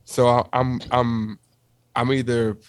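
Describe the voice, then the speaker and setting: A man's voice: mid-range voice, little pitch movement, slow. A man in his forties co-hosting a podcast, rambling in casual chat and repeating himself when flustered. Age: 40s. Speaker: podcast co-host.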